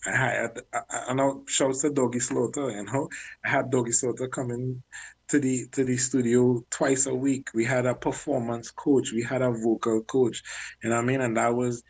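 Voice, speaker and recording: A man working as a music manager, chatting candidly in an interview and often clearing his throat. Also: medium pitch, flat delivery, moderate pace, rough recording. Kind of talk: casual interview talk.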